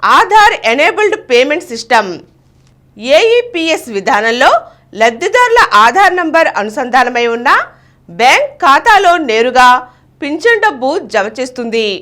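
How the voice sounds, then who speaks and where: A woman's voice: high-pitched, very animated, moderate pace, a bit bright. A woman reading scripted news as a bulletin presenter. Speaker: news reader.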